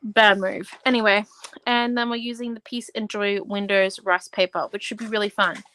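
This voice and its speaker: A young woman in her twenties leads a live craft class from home. She talks in a chatty, rambling way, full of asides and fillers. Her voice sits at an ordinary pitch and sounds close and dry, with no room echo. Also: fairly even intonation, normal speed, sharp tone. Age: 20s. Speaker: host of a live art class. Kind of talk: casual livestream craft tutorial.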